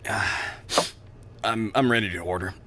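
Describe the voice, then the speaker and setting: A man's voice: on the low side, normal speed, sharp tone, slight background noise. A man playing a polite, wordy drifter who poses as a polished businessman in an audio drama. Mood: polite, halting. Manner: formal, wordy, polite, hesitant openings. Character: sharply dressed drifter posing as polished New York businessman.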